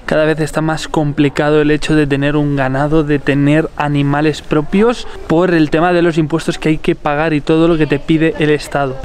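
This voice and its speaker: A young man from Spain filming an enthusiastic travel vlog to a handheld camera, talking casually and exclaiming as he shows a village house and streets. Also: on the high side. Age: young adult. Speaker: travel vlogger. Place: village house and streets at night, indoor and outdoor. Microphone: handheld camera at arm's length.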